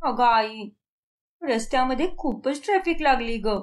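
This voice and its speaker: High-voiced pleading young daughter played by a woman. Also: expressive, unhurried. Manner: complaining, coaxing. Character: young daughter, whiny, pleading and work-shy. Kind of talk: cartoon moral story acting.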